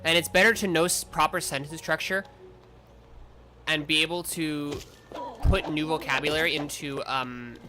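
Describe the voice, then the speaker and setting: Male vtuber streaming a game, rambling casual chat, sudden loud outbursts, slightly high voice, game music clearly behind. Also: fairly even intonation, normal speed.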